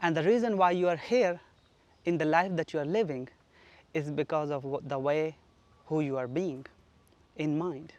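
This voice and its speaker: A man from Pakistan giving an earnest, reflective spoken teaching at home, his sentences often trailing off softly. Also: on the high side, normal speed, pauses often, no room sound. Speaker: spiritual teacher. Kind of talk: solo spoken teaching on manifestation. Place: flat.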